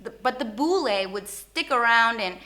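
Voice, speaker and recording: A woman hosting a vlog, giving a casual, opinionated rant in an animated, informal way and mixing Indonesian phrases into her English. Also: a little high, normal speed. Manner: animated, informal, acts out short imagined exchanges. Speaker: YouTube host.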